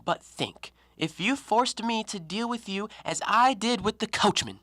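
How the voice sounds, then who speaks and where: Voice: medium pitch, unhurried, fairly bright tone, natural voice. An actor in an audio play voicing a proud, shrewd, scornful educated man with formal, theatrical delivery. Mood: agitated. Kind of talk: stage-style audio play acting.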